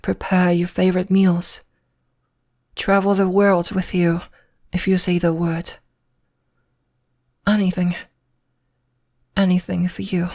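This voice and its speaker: Slow, low-voiced British vampire lady acted by a woman. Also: little pitch movement, darkish tone. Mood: pleading, devoted. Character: refined, possessive, courteous centuries-old female vampire, lovestruck. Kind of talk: ASMR roleplay, intimate scripted monologue.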